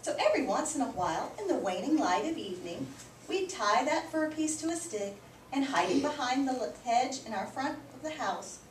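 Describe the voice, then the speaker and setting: Distant noisy recording, woman, highish animated voice, expressive storybook read-aloud, emphatic teacher-like delivery. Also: normal speed. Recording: roomy, distant mic, clearly noisy background.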